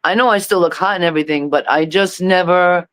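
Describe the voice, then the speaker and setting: Middle-aged woman artist with a smooth, resonant voice, halting and intense on a dry close mic. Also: on the low side, a bit bright, no room sound. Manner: halting, repeats herself, intense. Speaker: reggae artist, interview guest. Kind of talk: emotional personal testimony.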